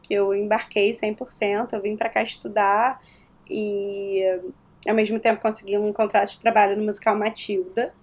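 A woman from Rio de Janeiro with a Carioca accent, a guest chatting casually in an interview, animated and quick to laugh. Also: mid-range voice. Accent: Carioca Portuguese. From Rio de Janeiro, Brazil. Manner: animated storyteller, laughs often.

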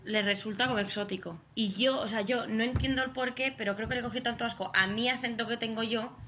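A woman's voice: fairly high pitch, steady tone, fast, background noise. A young woman from Spain with a Spanish accent, chatting as a podcast guest, full of fillers and restarts. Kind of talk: casual chat.

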